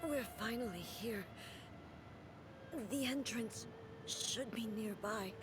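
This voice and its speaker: A woman's voice: fairly high pitch, slow, noisy background. A woman playing a regal, solemn and resolute female deity, stately and formal, in an anime game. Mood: weary, resolute.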